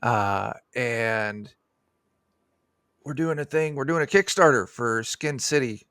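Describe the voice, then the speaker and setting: A man's voice: medium pitch, slow pace, a bit bright, clear, resonant tone, dry recording. A man, chatting casually in a jokey, rambling way as a guest. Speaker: guest, streamer. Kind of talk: casual chat. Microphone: close desk microphone on a video call.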